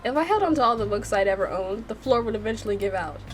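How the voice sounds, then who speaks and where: A woman's voice: mid-range voice, animated, fast, a little hiss or hum, natural voice. Woman reading a blunt, exasperated bookish man. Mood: dismissive. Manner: blunt, dismissive, scolding.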